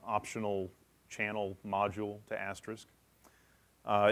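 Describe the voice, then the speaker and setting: Man, lowish pitch, conference speaker, technical presentation, matter-of-fact, explanatory. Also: a bit bright.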